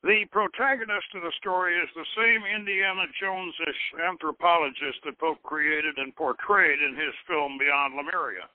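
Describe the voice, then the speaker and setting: A man hosting a radio show, reading a scripted text and his own novel aloud in deliberate phrases, as if over a telephone. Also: fairly high pitch, no echo. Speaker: radio show host and author. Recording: tinny phone-line radio broadcast.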